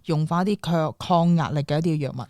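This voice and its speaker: Woman radio host, Hong Kong Cantonese accent, low pitch, casual interviewing, dry studio-like sound. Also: brisk, warm tone, dry recording.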